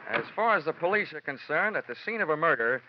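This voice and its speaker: An actor playing a wisecracking, cynical insurance investigator in a mid-century American radio detective drama. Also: male voice, a little high, lively intonation, fast talker, slight background noise, lightly acted. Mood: coolly needling. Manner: wry, cynical, slangy. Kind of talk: 1940s-50s radio detective acting. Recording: dull vintage radio recording, music behind.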